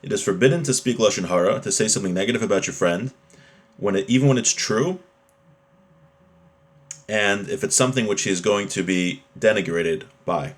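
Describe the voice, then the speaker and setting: A man with a fairly low voice gives an informal religious lesson. He talks conversationally as a teacher would, explaining the text in plain terms and using everyday examples. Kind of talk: informal Torah class, reading a text and explaining it.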